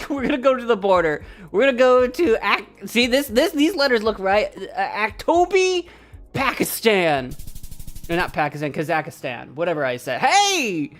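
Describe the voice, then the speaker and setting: A woman streaming a game, chatting casually, cracking jokes, laughing often and thinking out loud. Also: medium pitch, sing-song, unhurried, soft background music. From United States. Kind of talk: casual live-stream commentary.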